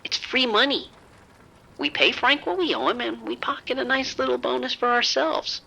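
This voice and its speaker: An actor playing a reckless gambler in an audio drama, cocky and breezy, always coaxing and persuading. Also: male voice, very high voice, lively intonation, normal speed, vocal fry, pushed and bright, heavily acted, poor audio.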